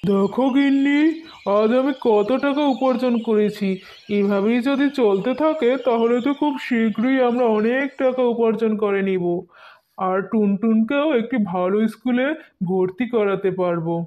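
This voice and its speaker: A woman's voice: a little high, moderate pace, warm tone, lo-fi sound, natural voice. A woman voicing a mild, good-natured husband bird in a children's cartoon, with an earnest, placating manner. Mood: pleased.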